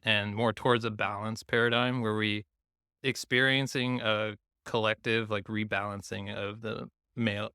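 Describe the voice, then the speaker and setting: A man from Taiwan, a guest on a podcast, talking reflectively and casually, often pausing to search for words. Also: fairly low pitch, little pitch movement, sharp tone, clear, resonant tone, no room sound, clean studio sound. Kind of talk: casual conversation.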